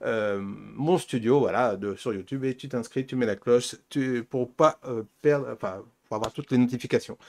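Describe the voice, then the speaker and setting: A man's voice: medium pitch, normal speed, few pauses. A man from the south of France, hosting a web show in a casual, hesitant, rambling and jokey way. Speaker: host of a pop-culture web show. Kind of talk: casual chat.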